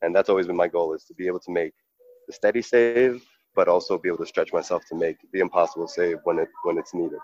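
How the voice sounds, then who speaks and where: A man with a very deep voice talks thoughtfully through his answers, pausing on fillers and starting over. The recording is dry with little room sound, and a bit dull in the highs. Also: fairly even intonation, a little mellow, no echo. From New York. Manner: earnest, reflective, with many fillers and restarts. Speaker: professional goalkeeper. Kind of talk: interview answers.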